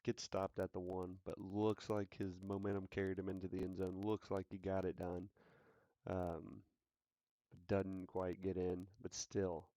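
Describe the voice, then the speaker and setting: Low-voiced joking sports podcast host. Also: male voice, completely flat delivery, a little slow, warm tone, no room sound. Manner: joking, hyped-up, repeats himself. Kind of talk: casual sports talk.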